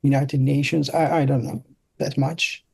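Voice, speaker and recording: A middle-aged man with a Turkish accent, telling stories casually as an interview guest, often halting and searching for words. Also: medium pitch, flat delivery, vocal fry, slightly rough, no room sound. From Turkey. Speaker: interview guest, product designer. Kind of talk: casual conversational storytelling.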